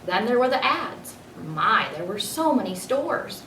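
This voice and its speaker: A woman reading a children's storybook aloud in an expressive narrating style. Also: a little high, measured pace. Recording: echoey room, distant mic, faint noise.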